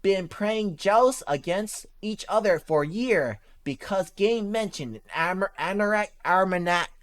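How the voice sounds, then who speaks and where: A reader playing a stern young male gamer, high pitched, clipped broken English, slow. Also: expressive, natural voice. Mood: earnest.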